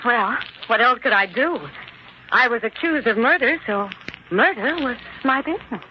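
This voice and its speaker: An actress playing a frightened, pleading young woman in a 1940s radio drama, halting and breathless. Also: a little high, animated, natural voice. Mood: rueful. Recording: dull, noisy, hissy 1940s radio recording.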